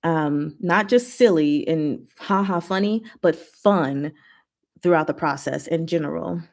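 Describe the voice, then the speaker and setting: A woman's voice: sits low, unhurried, vocal fry. A woman hosting a casual chat and Q&A, reading and answering comments in a giggly way with emphatic short verdicts. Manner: giggly, animated asides with emphatic one-word verdicts. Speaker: host of a chat and Q&A stream.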